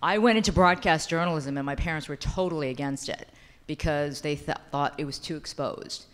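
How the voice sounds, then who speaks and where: A woman's voice: sits low, brisk, in a large hall. A woman journalist in the audience politely asking the panelist a personal question. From United States.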